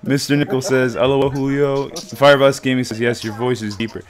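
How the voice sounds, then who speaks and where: A man's voice: mid-range voice, level tone, a little fast. Young man hosting a casual gaming livestream. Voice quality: raspy. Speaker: livestream host. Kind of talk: casual gaming livestream chat, reading out viewer comments. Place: indoor room at home. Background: game music.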